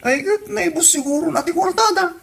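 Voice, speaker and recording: Casting: actor as fed-up Ilocano husband in radio comedy, notably high male voice, complaining manner. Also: moderate pace, creaky, fairly bright tone, soft background music, full character voice. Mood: grumbling. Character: exasperated husband grumbling about his spendthrift sibling.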